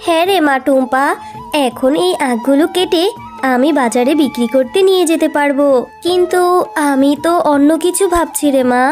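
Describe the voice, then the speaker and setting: A woman voicing a gentle, hard-working fox mother in a children's cartoon, affectionate and motherly. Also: high-pitched, moderate pace, soft background music, natural voice. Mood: motherly, thoughtful.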